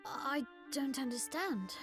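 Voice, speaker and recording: Boy king, high-pitched, slow, hesitant, bewildered, earnest, game cutscene, orchestral backing. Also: lively intonation. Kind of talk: video game cutscene acting. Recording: orchestral game music behind.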